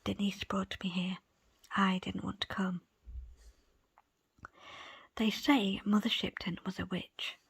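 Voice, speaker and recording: A woman reads aloud in an ASMR style, entirely in a very breathy whisper. Her phrasing is soft, deliberate and slow. The sound is dry, with hardly any room to it. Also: mid-range voice, level tone, no echo. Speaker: book presenter and reader. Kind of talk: ASMR whispered book reading.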